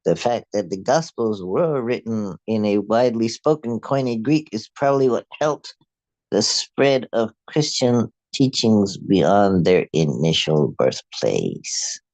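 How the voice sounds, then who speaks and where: A man with an Afrikaans accent, a native Afrikaans speaker, reading a prepared commentary aloud in a measured, halting way. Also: lowish voice, animated, a little mellow, no echo, poor audio. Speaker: video host.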